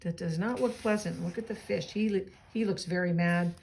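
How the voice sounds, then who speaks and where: A woman's voice: on the low side, normal speed, a little mellow, slight background noise. Woman reading a children's storybook aloud. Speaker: storybook reader. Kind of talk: children's read-aloud with gentle asides.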